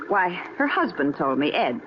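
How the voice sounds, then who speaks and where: A gossiping female friend in an old-time radio play, with a very lively, swooping delivery. The recording is muffled and noisy. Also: low voice, normal speed. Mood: insistent. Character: talkative, gossiping woman, friend of missing woman. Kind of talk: 1950s radio drama acting.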